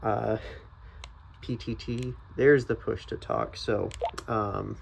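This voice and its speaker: A man's voice: on the low side, fairly even intonation, slow pace, vocal fry, slight background noise. A man giving a casual, unscripted product review, thinking aloud in a hesitant, tentative way.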